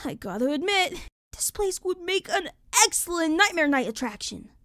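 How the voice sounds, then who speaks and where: Woman as a cocky, bright-voiced pony mare. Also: very high voice, big pitch swings, normal speed, full character voice. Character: cocky, brash, unimpressed adult pony mare. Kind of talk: cartoon fan fiction audio drama acting.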